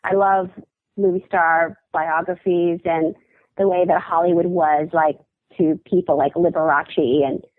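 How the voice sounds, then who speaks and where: Woman comic guest, chatty and halting, narrow phone-line sound, dry. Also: on the low side, steady tone, dry recording. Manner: chatty, halting with many fillers and restarts, laughs often. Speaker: comedian guest. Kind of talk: casual interview chat.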